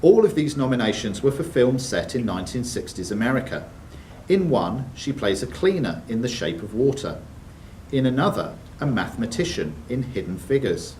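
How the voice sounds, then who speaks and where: A man's voice: medium pitch, very animated, moderate pace, darkish tone. A man working as a quiz master, reading questions aloud to contestants. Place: indoors in a club room, mic at a distance. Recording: roomy hall, distant mic, faint noise.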